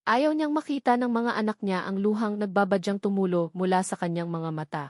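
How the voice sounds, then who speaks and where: A woman's voice: medium pitch, brisk, darkish tone, no echo, clean studio sound. A woman with a Filipino accent narrating a scripted story in a dramatic storytelling style. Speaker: audiobook narrator.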